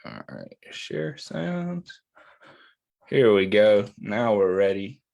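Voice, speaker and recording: A man's voice: lowish voice, sing-song, creaky, a little mellow. A man hosting storytime for young children, talking to them in simple, reassuring words and often checking that they are following along. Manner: simple, reassuring, checks in with listeners, drawn-out phrasing. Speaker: children's storytime host reading a picture book. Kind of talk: storytime read-aloud and chat to young children.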